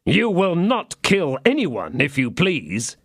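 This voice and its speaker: A man's voice: a little high, huge pitch swings, slow, vocal fry, a bit bright, breathy edge, no echo, studio quality, voice pushed up, full character voice. A man voicing a cool, commanding young swordsman who speaks with assurance. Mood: bold, commanding.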